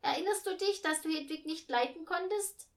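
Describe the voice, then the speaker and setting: Woman doing a loud, high, cheeky boy. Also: moderate pace. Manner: blurting, argumentative. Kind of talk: storybook reading.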